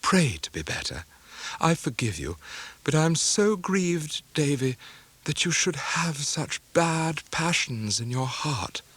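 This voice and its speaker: A man voicing a timid, anxious young mother in an audiobook, gentle and faltering. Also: on the high side, big pitch swings, slow, slightly rough, pitched above the performer's own voice, exaggerated voice. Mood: grieved.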